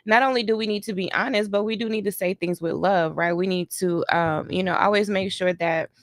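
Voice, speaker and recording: A woman's voice: mid-range voice, steady tone, a little fast, dry recording, studio quality. A woman from Detroit who grew up in Germany, chatting casually to her viewers with lots of "you know" and "right". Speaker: reaction vlogger. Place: home, doing a facial on camera.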